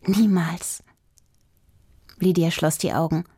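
A woman narrator telling a scripted children's radio play story in an expressive way. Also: fairly low pitch, takes it slowly, slightly rough, no room sound, studio quality.

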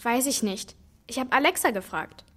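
A woman's voice: fairly high pitch, lively intonation, normal speed. A woman playing a frank, know-it-all young girl, blunt and confident, in a children's radio play. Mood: curious, frank. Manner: blunt, matter-of-fact, confident.

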